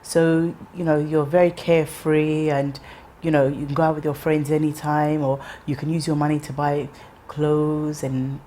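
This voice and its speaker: Low-voiced woman in her thirties, reflective. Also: flat delivery, mellow, a little hiss or hum. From England. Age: 30s. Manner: reflective, measured, with frequent ums and restarts. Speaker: interviewee. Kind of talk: personal life story interview.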